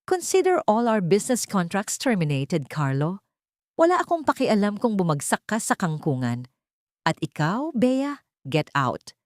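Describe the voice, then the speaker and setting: A woman's voice: medium pitch, very animated, measured pace, darkish tone, no echo, clean studio sound. A woman voicing a furious, disgusted old wealthy father, curt and commanding, in a dramatic story.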